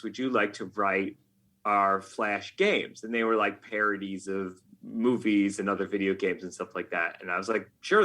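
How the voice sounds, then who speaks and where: A man with a fairly low voice and a bright, punchy edge. He talks as a guest in a relaxed interview, spinning anecdotes with joking self-deprecation. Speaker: podcast guest, game writer. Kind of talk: casual interview talk.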